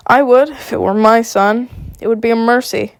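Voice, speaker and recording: A smug young knight with a cruel streak, read by a woman who is sick. He speaks with easy mockery in a gravelly voice. The sound is dry and close. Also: fairly high pitch, steady tone, normal speed, dry recording.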